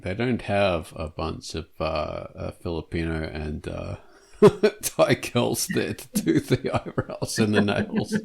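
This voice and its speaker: Slow, laughing male podcast host. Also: on the low side, vocal fry. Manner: jokey, laughs often. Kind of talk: casual chat.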